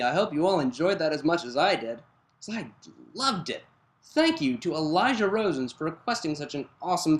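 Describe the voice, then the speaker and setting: A narrator reading fan fiction aloud in an animated storytelling style. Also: medium pitch, husky.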